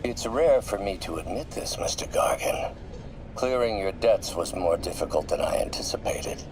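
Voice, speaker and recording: A man playing a bitter, scheming older scientist turned game villain, deliberate and articulate, dropping into menacing whispers. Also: sits low, animated, noisy background. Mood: persuasive, measured. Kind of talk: dramatic recorded monologue in a video game.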